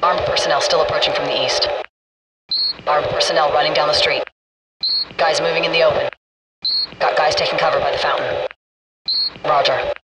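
A man's voice: fairly high pitch, level tone, normal speed, long pauses, natural voice. Man playing a terse gunship officer, distant, very noisy. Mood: urgent, warning. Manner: terse, commanding. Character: adult male military gunship fire control officer, businesslike. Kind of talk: clipped military radio callouts. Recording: distant military radio, loud aircraft cabin noise.

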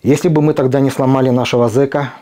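A middle-aged man from Ukraine speaks straight to his viewers in a mellow, darker voice at an ordinary pitch. His delivery is earnest and emphatic, and he leans on deliberate pauses. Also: steady tone. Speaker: video blogger. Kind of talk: impassioned direct address to camera.

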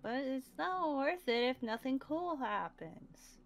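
Lively, slow-talking playful woman. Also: high voice, vocal fry. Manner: playful, upbeat, teasing. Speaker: roleplay series player. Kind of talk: in-character roleplay chat.

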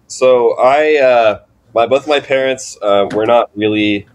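A young man in a casual interview, telling stories in a halting, loose way with lots of "like". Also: medium pitch. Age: young adult. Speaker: band drummer, interview guest. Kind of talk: casual chat, storytelling.

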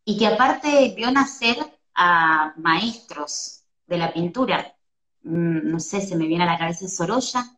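A woman's voice: fairly low pitch, lively intonation, unhurried, fairly bright tone. Rioplatense woman interviewer in an echoey room. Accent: Rioplatense Spanish. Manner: thoughtful, searching for words. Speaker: host, interviewer. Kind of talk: interview questions. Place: room at home. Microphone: video call.

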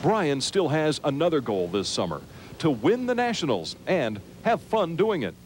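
A man's voice: on the high side, very animated, moderate pace. A middle-aged American man reading the news in a scripted broadcast style as a TV anchor. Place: TV news studio. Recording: noisy old TV broadcast tape.

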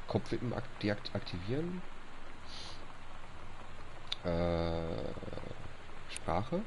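A man's voice: on the low side, sing-song, extremely slow, background noise. A young man with a stuffy nose chatting casually over gameplay, reading on-screen text aloud and grumbling at bugs. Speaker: let's play host.

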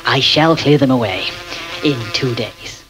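An actor playing an excitable male asylum patient obsessed with insects, wheedling and creepy in a 1970s radio drama. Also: mid-range voice, measured pace, background noise, close to an everyday voice. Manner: wheedling, creepy, lurching between whispers and outbursts.

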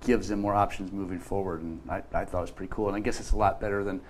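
A man in his fifties hosts a casual studio interview, asking friendly questions that wander and restart. His voice is on the low side. A lapel mic picks him up over a faint hum of room noise. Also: fairly even intonation, racing. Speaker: show host. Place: bright studio set at a table.

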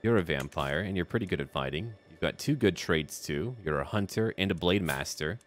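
A man's voice: low voice, lively intonation, fairly quick, slight background noise. A man hosting a casual roleplay gaming video, commenting in a chatty, offhand way as he addresses the game's characters.

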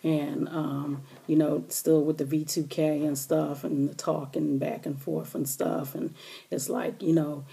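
Woman, middle-aged, hoarse, low pitch, hushed rambling talk, webcam in a bedroom. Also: level tone, a little slow. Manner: talking low and hushed, rambling with many fillers. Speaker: vlogger. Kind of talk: casual direct-to-camera update. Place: small bedroom indoors. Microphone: webcam or laptop camera close up.

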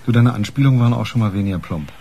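An actor in a radio crime drama playing a weary, gruff and evasive police chief inspector who brushes questions off. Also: male voice, lowish voice, racing, mellow, slight background noise. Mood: grumpy, weary.

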